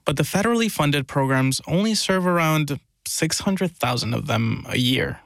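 A man's voice: a little high, animated, moderate pace, fairly bright tone, no echo. A man reporting on a conversational news podcast, explaining things in a lightly amused way.

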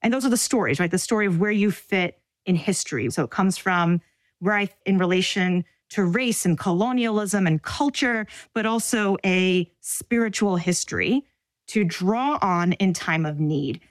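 A woman in her forties from Staten Island, New York, telling her story in an interview in thoughtful, measured phrases with pauses. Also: medium pitch, dry recording. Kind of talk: reflective personal storytelling.

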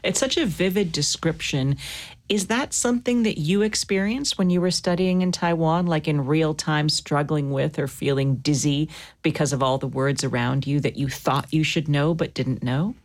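A woman with a distinctly low voice hosts a literary interview. She phrases her remarks and questions deliberately, with a composed broadcast delivery. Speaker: interview host.

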